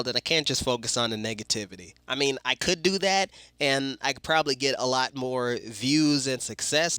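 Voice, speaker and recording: A man's voice: medium pitch, pushed and bright, clean tone, no room sound. A young man hosting a casual commentary video while sick, his voice a little off, talking in slangy, loose speech with fillers and the odd swear. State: sick, voice sounds off. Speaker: YouTube commentary host.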